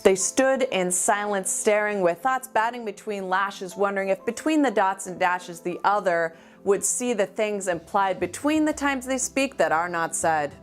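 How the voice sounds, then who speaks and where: A woman performing spoken-word slam poetry with deliberate, dramatic phrasing and pauses. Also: mid-range voice. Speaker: amateur slam poet. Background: music.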